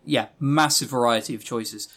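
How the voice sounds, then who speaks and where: A man's voice: medium pitch, moderate pace, fairly bright tone. Man chatting casually on a podcast. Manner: rambling, opinionated, swears casually. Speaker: podcast co-host. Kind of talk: casual chat, game review.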